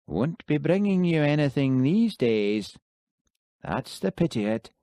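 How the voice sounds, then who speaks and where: A man's voice: a little high, very animated, a little slow, mellow, no echo, clean studio sound, pitched above the performer's own voice. A man voicing an easygoing, sly village constable, mild and slyly innocent in manner. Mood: wry.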